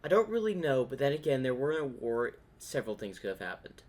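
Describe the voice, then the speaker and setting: A man's voice: mid-range voice, sing-song, fairly quick. A man giving casual reaction commentary as a video host, thinking aloud as he speculates.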